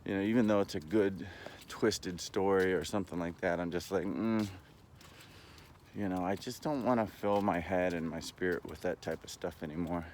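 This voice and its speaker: A man talking in a casual, rambling monologue, halting but earnest and opinionated, as a vlogger. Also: low-pitched, darkish tone, a little hiss or hum.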